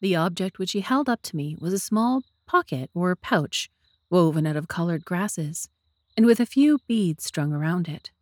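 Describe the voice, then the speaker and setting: A woman narrates a scripted story for an audiobook. Her voice sits a little low for a woman, and the sound is dry with no room echo. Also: lively intonation, moderate pace.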